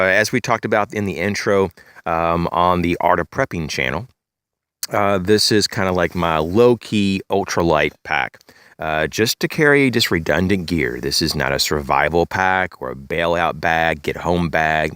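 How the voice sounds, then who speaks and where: A man with a slightly raspy, congested voice, casually reviewing his backpack outdoors, rambling with many fillers and clearing his throat. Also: low voice, a bit bright, no room sound. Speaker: gear reviewer, channel host. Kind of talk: casual show-and-tell gear review. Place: outdoors in leafy woods, bag on a chair.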